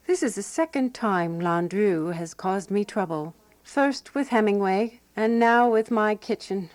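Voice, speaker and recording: A woman playing a fussy, resolute amateur sleuth who narrates her own mystery in a radio drama, prim and measured. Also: medium pitch, a little mellow, no room sound, close to an everyday voice.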